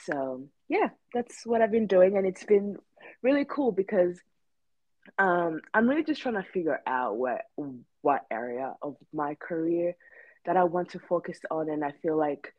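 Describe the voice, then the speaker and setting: A woman's voice: on the low side, lively intonation, normal speed, no room sound, phone-quality sound. A woman in her twenties from Rwanda, co-hosting a casual podcast chat and rambling with many fillers and restarts. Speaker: podcast co-host.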